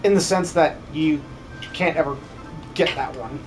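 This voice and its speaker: A man's voice: fairly high pitch, unhurried, music in the background. A man giving goofy, playful commentary on a game stream in a sing-song way. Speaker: streamer and amateur voice actor.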